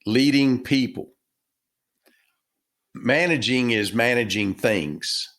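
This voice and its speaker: An older man with a Texan accent, an author and former business owner, answering interview questions in a halting, folksy way with many fillers. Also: mid-range voice, fairly bright tone. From Texas.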